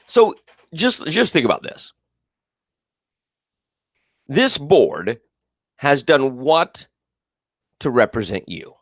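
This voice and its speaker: A man's voice: medium pitch, wildly swooping pitch, slow pace, pauses often, no room sound, studio quality. A male talk show host with a big, carrying voice, giving emphatic, repetitive political commentary and reading viewers' comments aloud. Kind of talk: live political call-in commentary.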